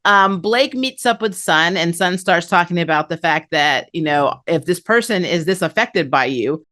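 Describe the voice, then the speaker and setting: A woman's voice: on the low side, expressive, normal speed, sharp tone. A woman hosting a review, recapping an episode in a chatty, conversational way with casual commentary. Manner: chatty, conversational, acts out characters' lines. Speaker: anime review channel host.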